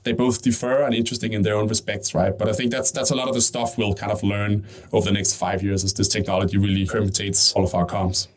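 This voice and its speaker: A man's voice: fairly low pitch, flat delivery. A man from Denmark, a company CEO, answering interview questions in a conversational way, thinking aloud and often tagging "right?" onto his points. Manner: fast, thinking aloud with frequent "right?" and restarts.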